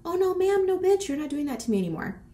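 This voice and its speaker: A woman's voice: very high voice, quick pace. A woman in her thirties chatting casually in a sassy vlog style, congested and a bit short of breath from a cold. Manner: sassy, animated, peppered with slang and swearing. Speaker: boutique owner and vlogger. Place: small decorated room indoors.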